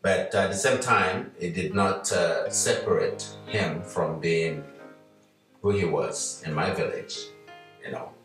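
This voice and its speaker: Slow, deep-voiced Nigerian man reminiscing. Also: a bit bright, very echoey, far from the mic, faint music behind. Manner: slow, hesitant with many fillers. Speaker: interview guest, musician. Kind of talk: relaxed reflective storytelling.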